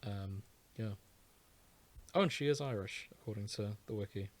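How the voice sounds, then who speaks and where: A man's voice: on the low side, normal speed, darkish tone. A man co-hosting a podcast, chatting casually about fan fiction. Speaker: podcast co-host.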